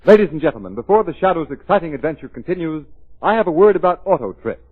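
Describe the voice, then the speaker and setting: High, animated male radio announcer. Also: quick pace, soft background music. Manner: emphatic, declamatory. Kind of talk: 1930s scripted radio commercial. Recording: phone-like vintage radio recording.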